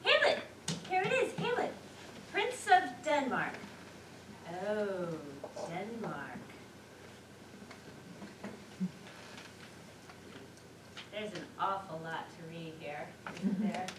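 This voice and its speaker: An actress on stage playing a scatterbrained, chatty wife, dithering yet sure of herself, with emphatic theatrical delivery. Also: female voice, mid-range voice, big pitch swings, takes it slowly. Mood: excited. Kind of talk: live one-act stage play acting. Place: theater stage, picked up from a distance. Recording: roomy, distant, noisy theater recording.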